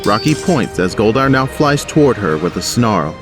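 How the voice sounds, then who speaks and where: A man's voice: on the low side, big pitch swings, moderate pace, over music. A man narrating an action audio drama from a script.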